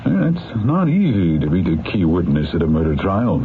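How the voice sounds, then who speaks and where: A probing, reassuring defense attorney in a vintage radio drama. His voice is very low and moves expressively as he questions. It comes through narrow and phone-like over music. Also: sing-song, a little hiss or hum. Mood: reassuring, fussy. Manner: measured, questioning. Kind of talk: 1970s radio drama acting. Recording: muffled, phone-like old radio broadcast.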